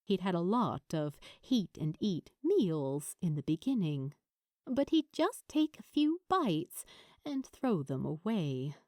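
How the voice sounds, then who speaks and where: A woman reading a story as an audiobook narrator, with dramatic, deliberate phrasing and pauses. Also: mid-range voice, mellow, no echo, clean studio sound. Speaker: narrator of fan fiction.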